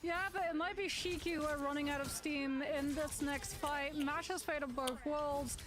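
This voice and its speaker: A woman's voice: sits high, steady tone, normal speed. A woman giving live color commentary on an esports match, steadily breaking down the plays.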